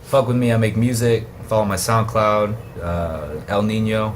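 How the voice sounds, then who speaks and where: Low-voiced laid-back co-host, off-mic. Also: male voice, fairly even intonation, moderate pace, slight background noise. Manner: short interjections, laid-back. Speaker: podcast co-host. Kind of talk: casual chat.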